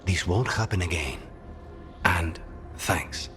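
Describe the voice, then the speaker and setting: A tired, brooding fantasy game hero haunted by a demon. His voice is deep and slow, stubborn in tone, with music clearly playing behind. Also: a bit bright. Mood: weary, dismissive. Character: weary, stubborn man possessed by a demon. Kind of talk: video game voice acting.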